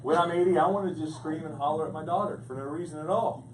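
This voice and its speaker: A man preaching with a playful, joking delivery, his voice sitting a little high for a man. He sounds set back from the microphone in a large echoing church hall, with steady noise underneath. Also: fast talker. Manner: playful, animated storytelling with jokes. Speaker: pastor. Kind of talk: humorous sermon. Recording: echoey hall, distant mic, background noise.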